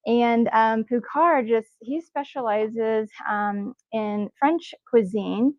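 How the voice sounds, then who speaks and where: A woman's voice: on the high side, takes it slowly, no room sound, dull audio. A woman hosting a live wellness event, introducing guests with enthusiasm and plenty of fillers. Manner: enthusiastic, cheering, frequent fillers. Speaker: event host. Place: indoor event space.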